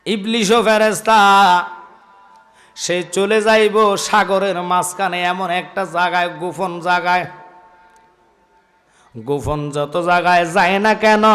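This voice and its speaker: A Bangladeshi man preaching an Islamic sermon, telling stories in an impassioned, declamatory way with drawn-out, chanted phrases. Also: sits high. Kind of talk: Bengali waz sermon, dramatic storytelling. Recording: long reverb from loudspeaker system.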